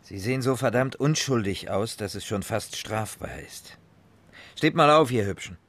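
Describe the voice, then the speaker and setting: An actor playing a commanding, sardonic police sergeant interrogating suspects in a radio crime drama. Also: male voice, lowish voice, lively intonation, moderate pace, a bit bright. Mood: stern, sarcastic.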